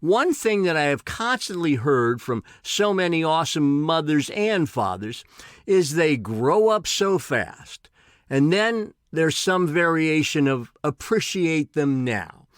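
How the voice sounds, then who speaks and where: Higher-pitched man in his sixties, reading aloud. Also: very animated, takes it slowly, no room sound, clean studio sound. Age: 60s. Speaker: essayist reading own work. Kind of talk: reading a personal essay aloud.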